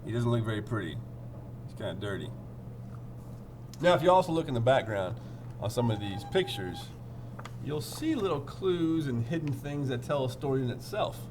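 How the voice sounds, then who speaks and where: A man's voice: mid-range voice, very animated, normal speed, pauses often, darkish tone, a little hiss or hum. A man reading a picture book aloud, adding playful, informal asides as he goes. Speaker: read-aloud storyteller.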